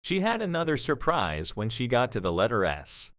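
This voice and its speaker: A man's voice: medium pitch, wildly swooping pitch, moderate pace, a bit bright, dry recording, clean studio sound. A man narrating a scripted story for an audio drama.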